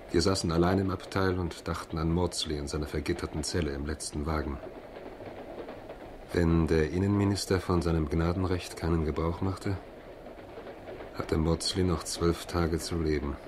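An actor playing a melancholy male lawyer who narrates a radio drama in a sombre, measured way. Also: low voice, background noise, natural voice. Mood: sombre, reflective.

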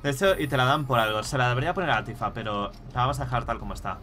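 A man's voice: medium pitch, very fast. A young man from Spain commentating on his own gameplay at home, swearing freely and muttering to himself as he plays, on a headset mic. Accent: Spain Spanish. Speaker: streamer. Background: game music.

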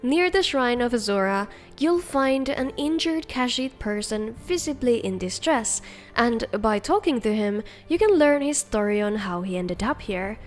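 A woman's voice: a little high, expressive, a little slow. A woman hosting a video, reading scripted showcase narration. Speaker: video host. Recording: faint music under the voice.

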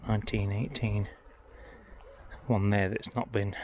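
A man's voice: lowish voice, flat delivery, measured pace, a little mellow, slight background noise. A man giving casual, informal vlog commentary, thinking aloud as he walks outdoors. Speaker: travel vlogger. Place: outdoors, walking around a village churchyard.

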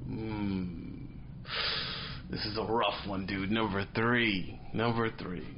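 A man's voice: lowish voice, slow pace, highs cut off, a loud crowd. A man in his thirties, a truck driver vlogging casually to camera in a small dim room, telling stories in slang and acting out little scenes. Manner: animated storytelling, slangy, acts out little scenes.

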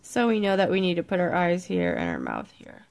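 A woman with a croaky, congested voice leads a step-by-step craft tutorial in a relaxed, chatty way, sometimes dropping to a near-whisper as she counts stitches. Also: lowish voice, brisk. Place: indoors at a worktable, overhead camera on hands.

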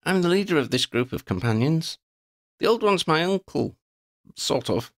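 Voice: mid-range voice, animated, moderate pace, pitched above the performer's own voice, lightly acted. A man voicing an earnest, decisive woman who leads a band of questing companions in a storybook, polite and direct. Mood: confident.

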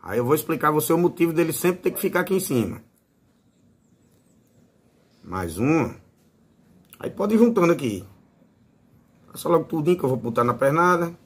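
A man's voice: fairly high pitch, sing-song, moderate pace, pauses often, breathy edge. A man with a Northeastern Brazilian accent giving a casual, informal hands-on tutorial as a fishing channel host. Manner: informal, fond of diminutives.

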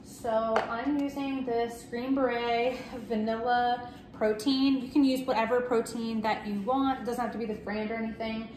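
A woman in her thirties with a fairly high voice talks upbeat and chatty as she walks through a recipe step by step. The sound bounces around her kitchen, with a faint hiss behind her, picked up by a camera a little way off. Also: steady tone, moderate pace. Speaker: cooking vlogger. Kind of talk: casual step-by-step cooking demo. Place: home kitchen, indoors. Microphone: fixed camera at table distance. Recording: echoey kitchen with faint noise.

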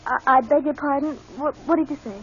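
A woman's voice: sits high, a little fast, lightly acted. An actress playing a troubled, polite young woman seeking help in an old radio drama. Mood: worried, polite. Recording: dull, faintly noisy old radio broadcast.

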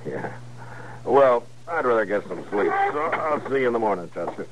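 Authoritative frontier marshal, low American voice, terse and wry, radio western; faint recording noise. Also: male voice, sing-song, normal speed. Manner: terse, dry, commanding. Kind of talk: 1950s radio drama acting. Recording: noisy vintage radio recording.

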